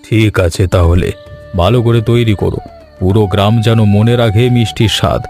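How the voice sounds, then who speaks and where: Cartoon village patriarch, male actor: dignified, decisive, generous, soft dark timbre, light music behind. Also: fairly low pitch, moderate pace, natural voice. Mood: commanding, generous. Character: dignified, generous village gentleman, father of the bride. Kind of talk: animated story dialogue. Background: background music.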